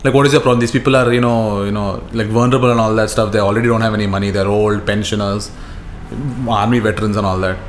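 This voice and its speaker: A man's voice: on the low side, steady tone, quick pace. Slangy Indian man in a roomy echo. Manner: slangy, profane, repeats himself for emphasis. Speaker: podcast co-host. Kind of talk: casual podcast chat, animated retelling. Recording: roomy echo, faint background noise.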